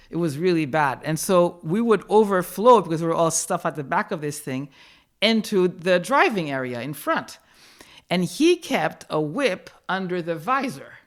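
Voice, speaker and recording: Low-voiced woman in her fifties recalling her past. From born in New York, raised in Haiti. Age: 50s. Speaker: guest telling her migration story. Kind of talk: personal storytelling.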